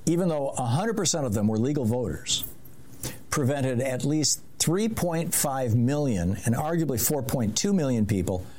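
Guy hosting a call-in radio show, easygoing, chatty, mid-pitched voice. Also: normal speed. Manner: relaxed, conversational, gently steering callers. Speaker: radio talk show host.

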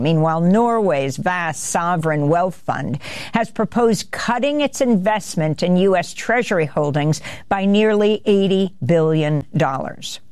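An older woman hosting a news show, reading the headlines in a measured, steady way into a studio mic. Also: fairly low pitch, dry recording. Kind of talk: news headlines read from script.

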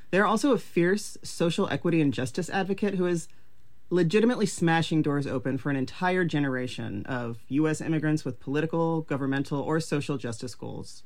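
An interview host with a mid-range voice leads the conversation in a casual, upbeat way. The delivery is slangy and loose, full of "like" and "um" as questions take shape. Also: mid-range voice. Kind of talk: podcast interview.

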